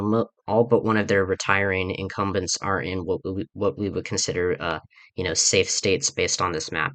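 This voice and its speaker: Low-voiced man talking politics, groggy. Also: bright, forceful tone, no room sound. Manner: rambling, many fillers and restarts. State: groggy from recent illness. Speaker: political channel host. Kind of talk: casual political commentary video.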